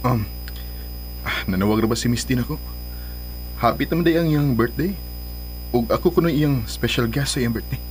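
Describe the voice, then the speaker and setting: An actor playing a stubborn, anguished, lovesick grown son in a radio drama, with a Filipino accent. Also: male voice, mid-range voice, normal speed, pauses often, a little hiss or hum, close to an everyday voice. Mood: uneasy. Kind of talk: Philippine radio drama acting.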